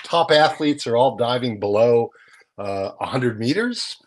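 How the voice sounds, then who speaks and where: An older man chats through a news rundown on a video call from a small room at home. His voice sits at an ordinary middle pitch, and he explains things casually, often checking in with a tag question. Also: animated, unhurried. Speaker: magazine editor and guest presenter. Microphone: video call, close to the screen.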